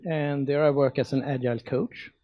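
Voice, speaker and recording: A man's voice: mid-range voice, fairly even intonation, normal speed, no echo, lo-fi sound. A man from Sweden, an agile coach introducing himself plainly.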